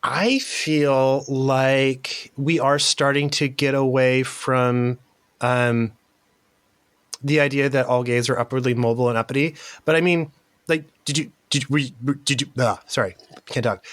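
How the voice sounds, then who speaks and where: A man from Central California speaks as a guest on a casual podcast. His voice sits at an ordinary middle pitch, and he lays out his ideas in a frank, chatty, explanatory way, swearing now and then. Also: moderate pace, a bit bright. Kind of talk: casual chat.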